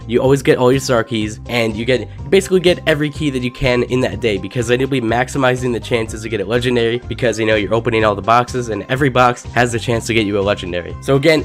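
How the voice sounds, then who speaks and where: A young man who is sick and losing his voice, giving casual, rambling game tips as a gaming YouTuber. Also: mid-range voice. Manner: casual, rambling, filler-heavy. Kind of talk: game tips commentary over gameplay.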